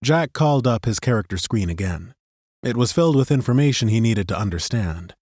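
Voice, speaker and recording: A man narrating a fantasy audiobook in an expressive, scripted storytelling style. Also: medium pitch, fairly quick, husky, dry recording, studio quality. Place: dry recording studio.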